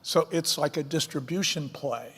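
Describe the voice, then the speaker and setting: Older guy cofounder, higher voice, wry and punchy, echoey reverb. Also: moderate pace, a bit bright, husky. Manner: wry, emphatic, punchy lists. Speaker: company co-founder, guest. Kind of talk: conversational interview.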